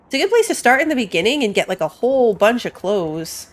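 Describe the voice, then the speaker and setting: A woman's voice: a little high, sing-song, fast. A woman giving casual live commentary as she plays a game, chatting and thinking aloud. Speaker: game streamer.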